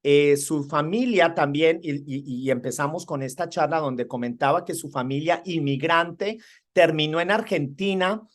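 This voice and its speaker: A man's voice: on the high side, moderate pace. A man hosts the conversation, winding through long questions. He often restarts his words and lifts his voice to stress a point. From Colombia. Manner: searching, repetitive restarts, emphatic rises. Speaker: interview host.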